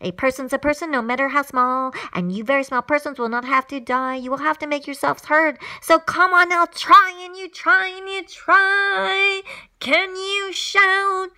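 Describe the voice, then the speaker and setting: A woman voicing an earnest, high-voiced loyal elephant. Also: brisk, few pauses, bright, forceful tone. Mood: pleading, encouraging. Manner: urging, earnest. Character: kindly, loyal, determined elephant.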